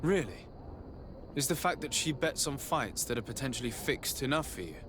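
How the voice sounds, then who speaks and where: A man's voice: medium pitch, expressive, normal speed, background noise, close to an everyday voice. An actor playing a polite, earnest young nobleman and diplomat in a video game, speaking articulately. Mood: light, courteous. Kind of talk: dramatic game dialogue.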